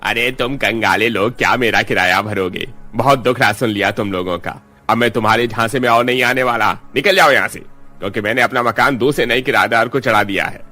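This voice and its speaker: A man plays a heartless, greedy landlord in a cartoon drama. His voice is mid-pitched, sharp and forceful, full of scorn. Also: a little fast, soft background music. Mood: scornful.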